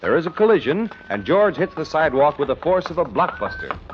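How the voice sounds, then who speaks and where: An American man serves as announcer and narrator of a 1940s radio show. His delivery is formal and declamatory, with animated pitch. Loud brass music plays behind him on a dull, lo-fi old recording. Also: a little fast. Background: brassy orchestral music.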